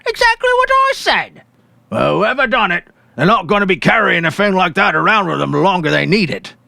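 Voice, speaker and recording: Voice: mid-range voice, very animated, fairly quick, vocal fry, sharp tone, no echo, studio quality, voice pushed up, exaggerated voice. A performer voicing casual male policemen who talk bluntly and thickly with their mouths full, in a story reading. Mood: casual, speculating.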